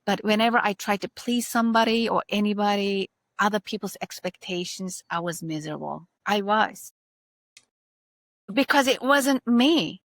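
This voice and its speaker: A woman in her forties, raised in Germany, with a Turkish-German accent, hosting a casual podcast conversation in an animated, emphatic way. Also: mid-range voice, moderate pace, no room sound. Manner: animated, emphatic, repeats herself. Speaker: podcast host.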